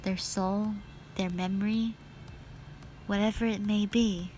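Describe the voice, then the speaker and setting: Slow-talking wistful witch, acted by a woman. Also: mid-range voice, steady tone, dark tone, a little hiss or hum, close to an everyday voice. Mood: wistful, solemn. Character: soft-spoken witch sister, wistful, scheming and playful. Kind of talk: audio roleplay acting addressed to the listener.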